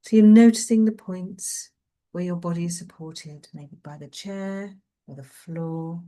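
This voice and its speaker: Woman, meditation guide, slightly low pitch, relaxed mellow tone, gentle, soothing, long pauses. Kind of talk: guided mindfulness meditation, softly spoken.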